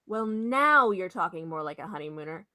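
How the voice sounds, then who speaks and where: A woman's voice: fairly low pitch, expressive, normal speed, sharp tone. A woman playing a nosy, bossy, know-it-all hotel attendant in an old-time radio comedy mystery, with a brash, exclamatory manner. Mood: knowing, pushy.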